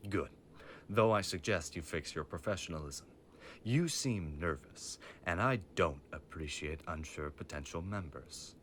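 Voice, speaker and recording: A man's voice: low-pitched, expressive, measured pace, a bit bright, a little hiss or hum. A man playing a stern, menacing mafia boss father with a formal, commanding manner in an audio roleplay. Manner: formal, commanding, coldly threatening.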